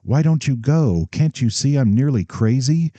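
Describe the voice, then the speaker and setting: A man's voice: mid-range voice, lively intonation, moderate pace, mellow, no room sound, voice pushed up, close to an everyday voice. A man reading an audiobook, voicing a defiant, nearly hysterical young woman on the eve of her wedding, her voice pleading and breaking. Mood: frantic.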